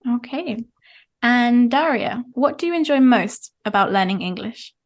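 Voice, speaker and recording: Young woman English teacher playing examiner, upbeat, clear, encouraging, somewhat high voice. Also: animated, a little slow. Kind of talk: mock speaking exam, teacherly.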